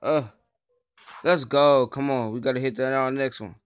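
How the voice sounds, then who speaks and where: A young man hosting a gaming video, talking casually in a slangy, excitable way. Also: mid-range voice, fairly quick, smooth, no room sound, studio quality. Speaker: video host, gamer. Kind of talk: casual gameplay commentary. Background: music.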